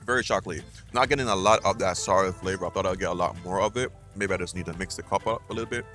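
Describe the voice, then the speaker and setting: A man's voice: deep voice, racing, a bit bright, faint music behind. A man vlogging casually as he tastes street food, chatty and upbeat. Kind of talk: casual food review and ad read.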